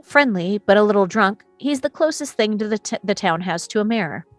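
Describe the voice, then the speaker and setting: A woman's voice: mid-range voice, animated, brisk, no room sound, soft background music. A woman narrator reading screenplay action and scene descriptions at a table read. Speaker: narrator reading stage directions.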